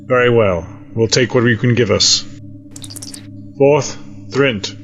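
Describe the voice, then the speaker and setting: A man playing a grave, resolute military admiral in an audio drama, measured and authoritative. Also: mid-range voice, faint music behind. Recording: dull lo-fi sound.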